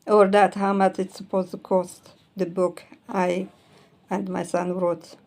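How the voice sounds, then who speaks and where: A woman's voice: lowish voice, little pitch movement, unhurried, vocal fry, a little mellow. A woman in her fifties, a native Arabic speaker, who self-publishes and talks casually about books, rambling and correcting words mid-phrase. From Arabic-speaking country. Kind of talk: casual explanatory talk, showing books.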